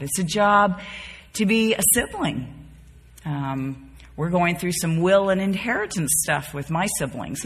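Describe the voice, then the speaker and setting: Husky, bright-voiced woman in an echoing hall. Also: low voice. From born and raised in the Bahamas, later North Carolina. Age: 50s. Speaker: Bible study teacher. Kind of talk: informal devotional teaching to a group. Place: large reverberant room, speaking to a group. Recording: reverberant hall.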